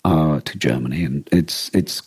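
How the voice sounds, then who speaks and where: A man co-hosting a podcast in casual discussion, halting often as he searches for words. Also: extremely low pitch, a little mellow, slightly rough, no echo, clean studio sound. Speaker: podcast co-host. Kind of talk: casual discussion of a radio drama.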